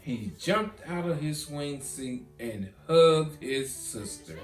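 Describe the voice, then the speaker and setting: A man reading a children's storybook as narrator, animated and with dramatic pauses. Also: fairly high pitch. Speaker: author reading own children's book. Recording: roomy echo, guitar music behind.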